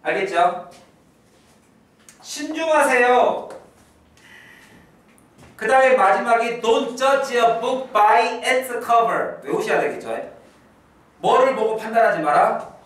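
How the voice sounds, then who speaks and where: A male English teacher giving an exam prep lecture, repeating key phrases slowly and drilling his students. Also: very high-pitched, animated, fairly bright tone, very echoey, recorded from a distance.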